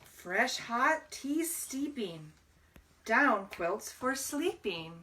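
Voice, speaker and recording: A woman reading a picture book aloud to young children as a library storyteller, deliberately, pausing to explain words. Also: on the high side, sing-song, bright, forceful tone, distant mic, a little hiss or hum, low-quality recording.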